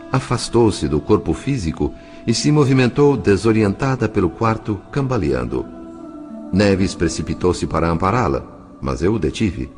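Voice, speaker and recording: A deep Brazilian voice narrates as a compassionate, reflective spirit. The telling is solemn and measured, in audio drama style, with music underneath. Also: male voice, a little mellow.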